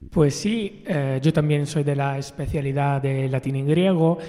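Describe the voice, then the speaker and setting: Slow-paced Italian young man, echoing hall. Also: fairly high pitch, mellow. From Italy. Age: young adult. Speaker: student teacher, interview guest. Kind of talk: casual spoken interview. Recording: long hall-like reverb.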